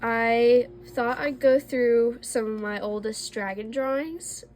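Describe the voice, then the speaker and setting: A woman's voice: on the high side, steady tone, slow, darkish tone, a little hiss or hum. A young woman, a little congested from allergies, chatting casually and self-deprecatingly as she shows her old drawings. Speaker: art vlogger. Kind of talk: casual sketchbook tour.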